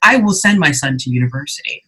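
Woman in her 40s from ghana, bright husky voice, chatty, lots of "you know". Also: low-pitched, fast talker. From Ghana, raised in Canada. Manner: animated, frequent "you know" and "right?". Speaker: entrepreneur guest. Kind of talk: casual interview.

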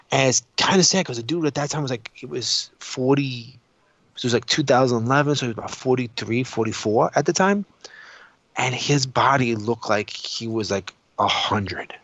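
A man's voice: mid-range voice, fairly bright tone, slightly rough, dull audio. A man co-hosting a podcast, chatting informally in a rambling, sweary storytelling way. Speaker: podcast co-host. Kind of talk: casual chat, storytelling.

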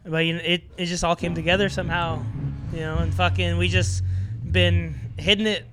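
A man, a band's bass player, talking casually and rambling, swearing often. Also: flat delivery, fairly quick, noisy background. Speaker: band bassist, guest. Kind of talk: casual interview.

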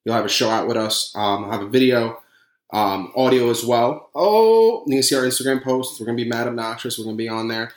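A man's voice: medium pitch, lively intonation, a little fast, reverberant. A man with a New York accent hosting a casual podcast, brash and profane in rambling banter. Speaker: podcast host.

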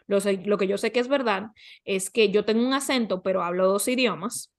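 A woman coach talks as a guest on a podcast at a medium pitch. She gives advice conversationally, often posing questions and answering them with examples. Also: fairly quick.

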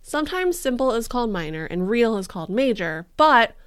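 A woman's voice: a little high, sing-song, a little fast, clean tone. A young woman co-hosting a casual true crime podcast, joking around and peppering her speech with "like". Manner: bubbly, joking, heavy use of "like". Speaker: podcast co-host.

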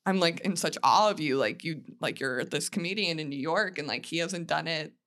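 A woman's voice: low voice, normal speed, a bit bright. A woman comedian chatting casually as a podcast guest, telling stories in loose, filler-heavy phrases.

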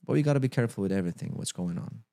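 Low-voiced man. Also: lively intonation, vocal fry, dark tone, no room sound, studio quality. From Georgia. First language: Dutch. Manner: halting, repeats words, many you-knows. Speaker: guest actor. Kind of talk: casual interview chat.

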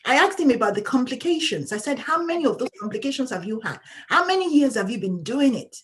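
A woman's voice: sits high, sing-song, fast talker. A woman guest in an interview, talking candidly as a patient advocate in an emphatic, outspoken way and laughing often.

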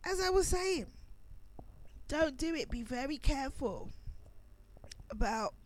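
Woman, radio host, hoarse, fading voice, fairly high-pitched, rambling casual talk. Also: expressive, measured pace. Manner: chatty, rambling, self-correcting. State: losing her voice, coughing. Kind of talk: casual pre-recorded radio talk on astrology.

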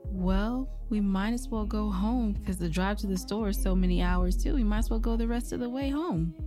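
Actress as annoyed girlfriend over clear music. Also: mid-range voice, normal speed, dark tone, lightly acted. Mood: annoyed, reluctant. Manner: terse, reasoning, resentful. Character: annoyed, reluctant girlfriend of a stubborn man. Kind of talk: audio drama acting.

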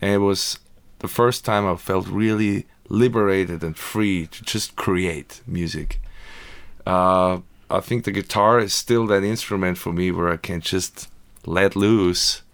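Gravelly musician guest. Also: male voice, sits low, measured pace, no echo. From Austria. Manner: relaxed, laughs easily, friendly. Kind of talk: casual interview. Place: radio studio.

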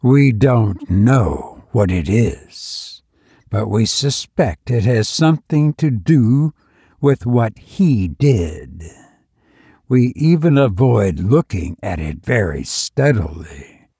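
A grave, intelligent gray-bearded man, voiced by a male story reader gives quiet, uneasy warnings in a horror tale. He speaks slowly and solemnly, with a dry, close sound. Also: on the low side, big pitch swings, slightly rough, no room sound, full character voice. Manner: solemn, slow, cautioning. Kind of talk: horror story audiobook dialogue.

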